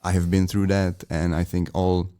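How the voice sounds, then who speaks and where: A man's voice: low voice, little pitch movement, moderate pace, mellow. A man hosting a podcast, asking questions in a casual, hesitant way with many fillers. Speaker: podcast host.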